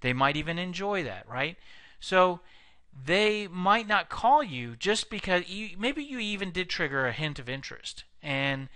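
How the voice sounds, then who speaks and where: Male sales trainer, conversational lecture delivery, crisp, dry close recording. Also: high voice, big pitch swings, a little slow, sharp tone, dry recording. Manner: conversational, frequent fillers and restarts. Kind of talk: instructional video lecture.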